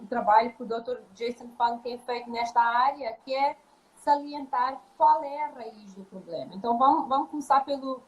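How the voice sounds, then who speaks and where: A woman talks through a live video call in a fairly high voice. She speaks as a naturopathic doctor, hesitating often, restarting phrases and filling gaps with "ah" and "eh". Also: low-quality recording. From Mozambique. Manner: hesitant, many restarts and fillers. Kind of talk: live video chat interview.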